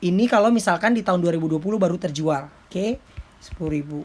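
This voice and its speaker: A woman's voice: fairly low pitch, quick pace, a little mellow. A woman giving an informal lecture as a teacher, explaining step by step with frequent check-in tags. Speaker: accounting lecturer.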